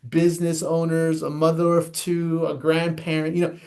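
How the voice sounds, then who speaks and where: A man's voice: fairly high pitch, monotone, moderate pace, darkish tone. Earnest, emphatic man explaining voting. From United States. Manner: earnest, emphatic, with frequent repetitions and restarts. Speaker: elections official, guest. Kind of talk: interview explanation.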